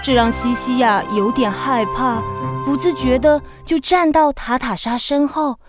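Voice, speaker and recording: An actress playing a gentle storybook narrator, reading scripted narration for a children's audio drama. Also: female voice, high voice, unhurried, music in the background. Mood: storytelling.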